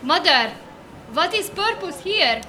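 A woman's voice: extremely high pitch, big pitch swings, takes it slowly, sharp tone, a little hiss or hum, heavily acted. An actress playing a young woman who puts on a Hungarian accent and broken English in a live radio play. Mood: insistent. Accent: put-on Hungarian. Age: young adult. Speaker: daughter. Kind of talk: live stage radio drama.

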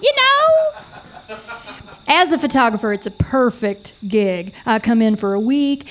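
A woman acting a brash, earnest wildlife photographer in a live stage comedy, emphatic and self-important. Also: on the high side. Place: small theater, live performance.

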